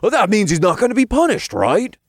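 A tiny male imp with a high, strained, whiny voice. He is a crude, wisecracking sidekick in a fantasy audiobook, slangy and exclamatory, with pitch that leaps around. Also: huge pitch swings, fairly quick, no echo, clean studio sound, full character voice. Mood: eager.

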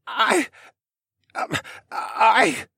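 A man voicing a high, croaky frightened boy. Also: wildly swooping pitch, extremely slow, bright, forceful tone, dry recording, clean studio sound, full character voice. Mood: shaken. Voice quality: croaky, strained. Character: scrawny terrified stable boy, a stowaway son.

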